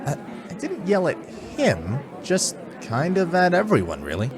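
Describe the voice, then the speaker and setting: Man acting earnest, expressive young soldier. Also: sits high, moderate pace, music in the background, a crowd in the background, heavily acted. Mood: weary, earnest. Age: young adult. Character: earnest young soldier, pleading and good-hearted. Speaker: voice actor. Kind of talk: fantasy audio drama acting.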